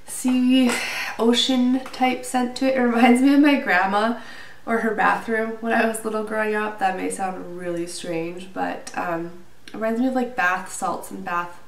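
A woman in her thirties with a stuffy, nasal cold, chatting casually to camera about beauty products, a little out of breath and using fillers. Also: mid-range voice. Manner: chatty, with fillers and small laughs. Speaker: beauty vlogger. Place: small indoor room, plain wall. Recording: roomy, slightly distant with faint hiss.

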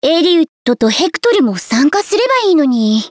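A woman's voice: high voice, big pitch swings, very fast, no echo, studio quality. A woman playing a spirited, competitive young female warrior in a Japanese game, with a plucky delivery. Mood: cheerful. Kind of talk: Japanese game character voice lines.

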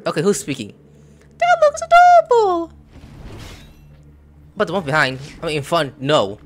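A man streaming a video game, commenting casually and breaking into loud, high yelps during fights. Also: wildly swooping pitch, moderate pace, noisy background. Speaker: gameplay streamer. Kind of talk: live gameplay commentary. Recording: game music playing underneath.

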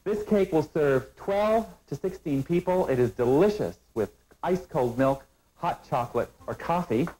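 A middle-aged man hosting a cooking show, calmly walking through each step of a recipe in a studio kitchen with a lapel mic. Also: on the high side, measured pace, low-quality recording. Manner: calm step-by-step instructive delivery. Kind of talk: scripted cooking demonstration.

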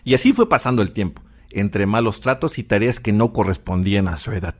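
A man's voice: lowish voice, a little fast. A man with a Mexican accent narrating a story in an informal, conversational and sympathetic way.

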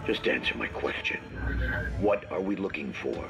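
A man's voice: extremely low pitch, lively intonation, moderate pace, gravelly, over loud music, exaggerated voice. A man playing an exasperated, blustering military general in a video game, impatient and demanding.

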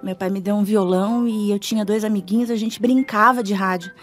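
A woman's voice: mid-range voice, steady tone, a little fast. A middle-aged woman with a Brazilian accent chatting informally as a radio interview guest, often adding tag questions. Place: small foam-padded radio studio. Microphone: studio microphone. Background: faint music.

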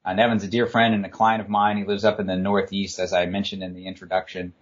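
Relaxed low-voiced male podcast host. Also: quick pace. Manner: friendly, relaxed, with frequent "uh" fillers. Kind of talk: casual podcast interview. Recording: dull lo-fi podcast audio.

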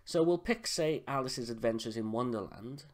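Slightly hoarse man giving a tutorial. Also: medium pitch, normal speed. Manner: relaxed, conversational, frequent "uh" fillers. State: slightly hoarse from a sore throat. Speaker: software tutor. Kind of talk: screen-recorded tutorial. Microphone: voice-over on a screen recording.

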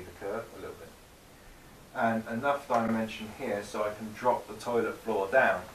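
A man's voice: lowish voice, echoey room, distant mic, slight background noise, poor audio. A man in his thirties with the flu, casually explaining his boat build while working in a cold garage workshop. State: has the flu.

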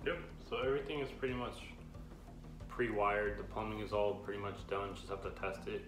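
A man's voice: on the low side, fairly even intonation, fairly quick, music in the background, rough recording. A young man co-hosting a van build vlog, explaining his work in a plain, practical way. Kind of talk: casual explaining and chat. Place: bus interior under construction.

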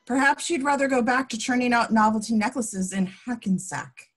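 A woman's voice: on the high side, moderate pace, natural voice. A woman playing a cold, curt, scornful henchwoman in an amateur comedy read. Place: home, on a group video call.

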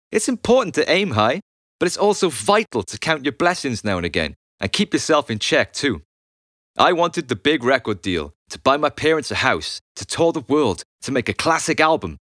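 A man's voice: medium pitch, a bit bright, no room sound, clean studio sound. A man narrating his own audiobook memoir in a conversational, blunt and emphatic way. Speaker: author and musician reading own audiobook.

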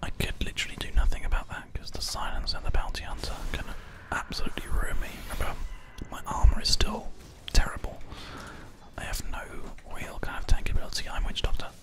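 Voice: fairly quick, low-quality recording. A person whispering ASMR commentary over video gameplay, close to a binaural microphone. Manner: whispering throughout. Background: keyboard typing and mouse clicks.

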